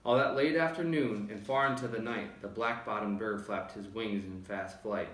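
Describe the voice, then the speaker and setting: A man reading children's verse aloud as an expressive storybook narrator. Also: fairly low pitch, normal speed. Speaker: storybook reader. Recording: roomy, distant microphone.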